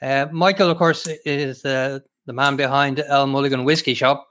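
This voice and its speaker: A man hosting an interview over a video call, measured and formal with many hesitations. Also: medium pitch, fairly even intonation, fairly bright tone. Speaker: host.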